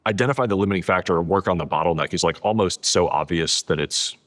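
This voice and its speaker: A man with a low voice talks through ideas as a guest in a casual interview. He leans on "like" as he goes and piles up words for emphasis. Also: fast talker, fairly bright tone. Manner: peppers sentences with "like", emphatic repetition of adjectives. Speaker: podcast guest, author. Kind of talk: casual interview conversation, explaining.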